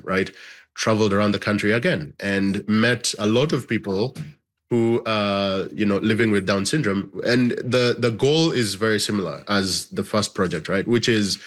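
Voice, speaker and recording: Low-voiced man answering interview questions. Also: fairly bright tone. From Uganda. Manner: thoughtful, hedging, often ends with "right?". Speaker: documentary filmmaker guest.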